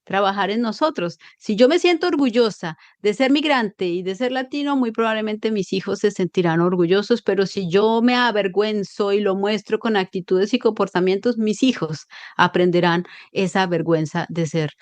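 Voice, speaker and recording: A Colombian woman, a psychologist, talking in a lively and expressive way in an interview, explaining with examples. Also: medium pitch, normal speed, few pauses, no echo. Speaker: psychologist guest. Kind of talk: conversational interview.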